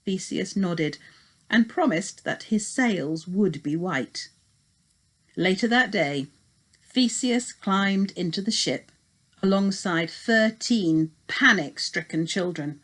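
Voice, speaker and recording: Lady teacher reading a storybook, really slow and dramatic, lots of up and down. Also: medium pitch, expressive. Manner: dramatic, deliberate. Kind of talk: scripted storybook narration.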